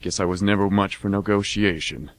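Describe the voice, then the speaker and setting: A man's voice: low voice, fairly even intonation, brisk, lightly acted. A man playing a laid-back mercenary older brother in an amateur radio drama, with a casual, folksy delivery. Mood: fondly teasing.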